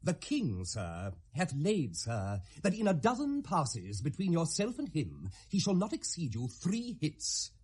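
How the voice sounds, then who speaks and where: Foppish British young courtier: fawning and affected, highish voice swooping wildly, classical radio drama. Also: male voice, huge pitch swings, unhurried, hardly any pauses, a little mellow, slightly rough, full character voice. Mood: fawning, eager. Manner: affected, obsequious, tittering. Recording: 1970s radio drama recording.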